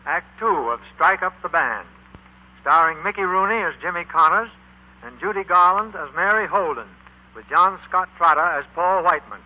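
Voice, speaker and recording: A man's voice: high voice, lively intonation, moderate pace. A man, producer and narrator, reading scripted 1940s radio drama narration. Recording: muffled old radio broadcast.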